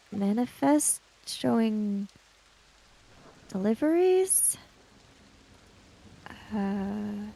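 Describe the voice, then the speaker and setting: A woman roleplays in a tabletop game over a bed of rain. Her voice is soft-edged and mellow with some creak, and she speaks very slowly and hesitantly, moving between her character's words and third-person narration. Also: mid-range voice, low-quality recording. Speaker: player in a tabletop roleplaying podcast.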